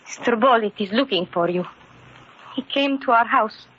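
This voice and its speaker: An actress playing an earnest, wounded immigrant wife with a foreign accent, speaking carefully and formally in an old-time radio drama. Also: female voice, fairly high pitch, moderate pace, slight background noise, natural voice. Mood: cold, wounded. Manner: careful, formal, uncontracted speech. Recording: muffled, dull old radio recording.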